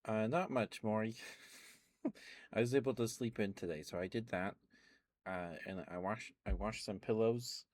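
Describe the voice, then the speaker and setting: A man's voice: fairly low pitch, unhurried, long pauses, a little mellow, dry recording, studio quality. A man streaming ASMR, rambling casually to live viewers with frequent ums and little laughs. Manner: meandering, chatty, lots of ums and little laughs.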